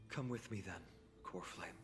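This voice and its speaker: A man playing an earnest, thoughtful young hero warrior in a video game, with measured, sincere delivery. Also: sits low, fairly bright tone. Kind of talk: scripted video game voice acting. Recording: long reverb, game music behind.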